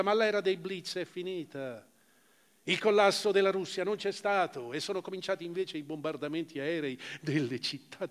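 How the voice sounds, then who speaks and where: Reverberant, higher-voiced man reading dramatically. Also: a bit bright. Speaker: stage actor reading a literary story. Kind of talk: dramatic literary reading, first-person narration. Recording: long hall reverb of a live performance.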